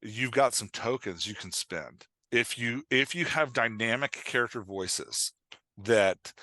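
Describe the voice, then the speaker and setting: A man talking casually as a podcast guest and writing coach, explaining in an informal, halting way with emphatic check-in questions. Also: mid-range voice, pushed and bright, dry recording.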